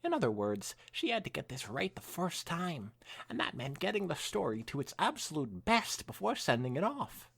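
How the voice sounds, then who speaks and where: A man reads aloud as a podcast co-host, with big swings in pitch and a husky edge to his voice. His delivery is expressive, now and then tripping on a word, and it slips easily into casual conversation. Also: moderate pace. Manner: expressive reading, stumbling over words. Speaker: podcast co-host reading fan fiction. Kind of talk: dramatic read-aloud mixed with casual chat.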